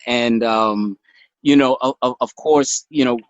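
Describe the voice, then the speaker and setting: Halting man on a dull call. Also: mid-range voice, no echo. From Florida, United States. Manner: halting, full of fillers and repeats. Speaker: new podcast co-host. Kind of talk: casual chat.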